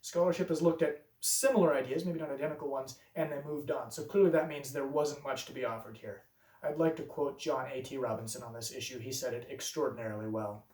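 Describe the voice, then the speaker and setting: A man presents a scripted explanatory video essay, working calmly and methodically through each objection. His mid-range voice sits a little back from the microphone. The room adds a noticeable echo around him. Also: medium pitch, recorded from a distance. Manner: measured, reasoning step by step through objections. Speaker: preacher and channel presenter. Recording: roomy echo, voice a bit off-mic.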